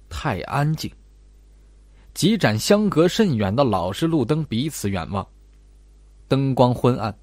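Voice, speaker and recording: A man's voice: medium pitch, a little mellow, no room sound. A man narrating a suspenseful horror novel as an audiobook, with dramatic storytelling delivery.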